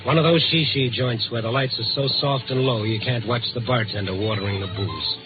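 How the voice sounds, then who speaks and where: A man playing a brisk, world-weary newspaper reporter in a 1950s radio drama, with clipped, urgent delivery. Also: mid-range voice, natural voice. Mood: tense, weary. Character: brisk, world-weary male newspaper reporter narrating his night beat. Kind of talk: 1950s radio drama acting, hard-boiled narration. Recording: lo-fi 1950s radio broadcast, loud music.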